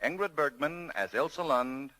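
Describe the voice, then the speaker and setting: High-pitched male 1940s radio announcer. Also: animated, moderate pace, poor audio. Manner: formal, theatrical. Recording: 1940s radio, music and audience behind. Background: music and crowd.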